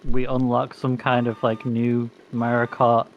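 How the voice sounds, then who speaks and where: A man's voice: mid-range voice, completely flat delivery, a little slow, muffled recording, a little hiss or hum. A young man joking and giggling casually while playing a racing game with friends. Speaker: gamer streaming with friends. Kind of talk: casual gaming banter.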